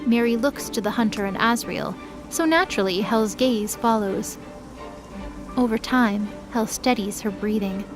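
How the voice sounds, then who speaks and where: Woman narrator reading a dramatic story, highish voice, music behind her. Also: unhurried, low-quality recording.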